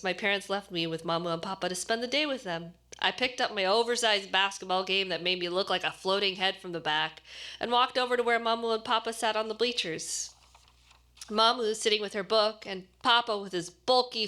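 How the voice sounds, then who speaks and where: A woman actor reading a short story aloud as expressive scripted narration. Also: mid-range voice, fairly quick.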